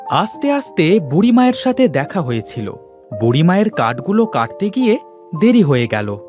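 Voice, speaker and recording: Animated, obliging village lad acted by a man. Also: high-pitched, moderate pace, faint music behind. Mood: respectful. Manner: obliging, expressive. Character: polite, helpful, hardworking village young man.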